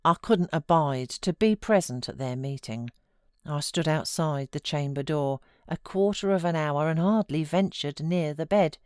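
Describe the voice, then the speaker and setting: A British woman reading a classic novel as an audiobook narrator, in a measured, expressive, dramatic literary style. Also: low-pitched, clean tone, no room sound, clean studio sound.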